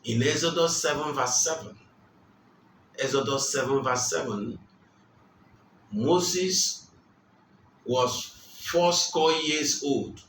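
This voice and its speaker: Slow, emphatic preacher man in a roomy space. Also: mid-range voice, a bit bright, slight background noise. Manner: slow and emphatic, repeating key phrases. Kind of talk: sermon. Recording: roomy, distant mic, faint ambience.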